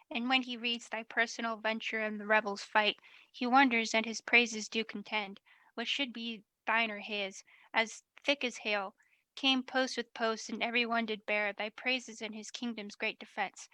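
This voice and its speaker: Bright, dry-sounding noble messenger played by a woman. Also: on the high side, steady tone, normal speed, no room sound. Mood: proud, formal. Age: young adult. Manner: declamatory, ceremonious. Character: formal noble messenger bearing royal praise. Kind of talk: Shakespearean radio play.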